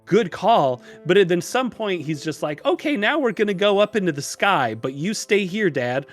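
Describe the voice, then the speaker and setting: A man talking casually as a podcast guest, telling stories in an animated, self-deprecating way with exasperated asides. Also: high-pitched, normal speed, soft background music. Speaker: podcast guest, illustrator and programmer.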